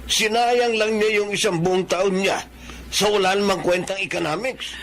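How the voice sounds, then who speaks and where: A man's voice: high-pitched, steady tone, normal speed, a bit bright, a little hiss or hum. A man playing a scornful middle-aged Filipino father in a radio drama, griping and sneering with exaggerated emphasis. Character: scornful middle-aged father belittling his own son.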